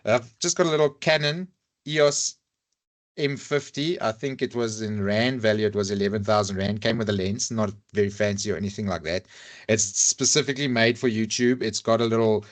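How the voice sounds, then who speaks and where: A man's voice: fairly low pitch, a little fast. A man whose first language is Afrikaans, speaking English with an Afrikaans accent as a podcast guest, candid and self-deprecating, with hesitant restarts. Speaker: podcast guest, rugby YouTuber. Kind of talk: casual podcast chat. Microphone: lavalier mic.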